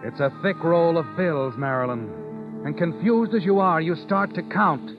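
A sly, insinuating mystery narrator played by a man from vintage radio. His voice is slightly high for a man, with clear music behind a muffled broadcast recording. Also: normal speed, close to an everyday voice. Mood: sly, knowing. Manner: insinuating second-person address. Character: sly, knowing male mystery narrator, needling the heroine.

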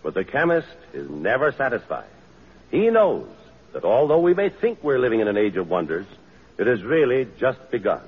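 Man, old-time radio announcer, declamatory, very lively pitch, husky, muffled vintage broadcast. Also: normal speed. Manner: declamatory, emphatic delivery. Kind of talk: 1930s-40s radio announcing, scripted. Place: auditorium stage.

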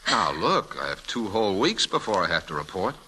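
An actor playing a cheerful, gallant young officer in love in an old-time radio drama, teasing and reassuring. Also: male voice, fairly low pitch, very animated, brisk, sharp tone, slightly rough, full character voice, poor audio.

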